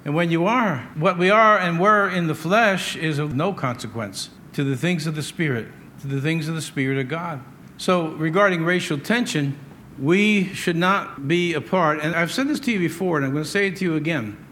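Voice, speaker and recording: A man's voice: a little high, normal speed, bright, forceful tone. An American man preaching a conversational sermon, emphatic, with repetition and rhetorical questions. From United States. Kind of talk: sermon, partly conversational with scripture readings.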